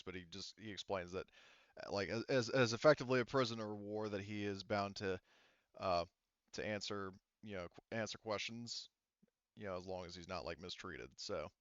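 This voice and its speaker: Male game master, low smooth voice, halting casual narration, dry lo-fi sound. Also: a bit bright, no room sound. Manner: halting, rambling explanations, casual swearing.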